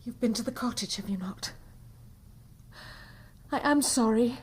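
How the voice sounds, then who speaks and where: Breathy, high, pleading Victorian wife. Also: female voice, unhurried. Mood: pleading, distressed. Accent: British. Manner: soft, imploring. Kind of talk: radio drama acting.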